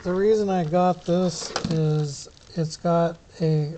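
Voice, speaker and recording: A middle-aged hobbyist giving a casual, unscripted gear demonstration, rambling and often pausing mid-sentence. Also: medium pitch, fairly even intonation, mellow. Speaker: amateur radio hobbyist giving a gear demo. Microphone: small camera pinned to t-shirt. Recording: noisy clip-on camera sound with clicks.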